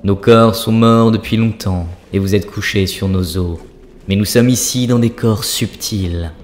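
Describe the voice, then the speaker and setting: A man's voice: fairly low pitch, steady tone, unhurried, slight background noise, close to an everyday voice. A man playing an ancient dwarf priest spirit in a cartoon, a solemn and instructive wise guide. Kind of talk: cartoon voice acting, spiritual teaching. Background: background music.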